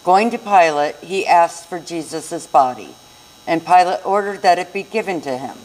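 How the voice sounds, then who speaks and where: A woman with a somewhat low voice reads scripture in a church service. She narrates the Bible story from a written text. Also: lively intonation, normal speed, darkish tone, low-quality recording.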